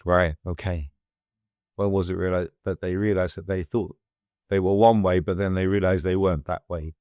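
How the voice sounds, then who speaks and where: A middle-aged British man hosting a podcast interview, soft-spoken and hesitant, with many fillers and restarts. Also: low-pitched, level tone, moderate pace, dry recording. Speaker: podcast host. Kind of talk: casual interview chat.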